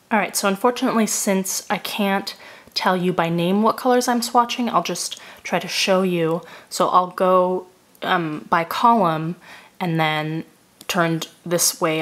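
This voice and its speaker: A woman getting over a cold, calmly describing each product she tries in a methodical review voice-over. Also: fairly low pitch. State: recovering from a cold. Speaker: beauty reviewer.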